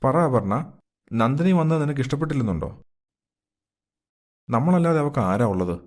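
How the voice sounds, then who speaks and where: A man's voice: medium pitch, lively intonation, moderate pace, a little mellow, natural voice. A man playing an earnest, insistent middle-aged father and husband in a moral story. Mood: pleading. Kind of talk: acted dialogue in a moral story.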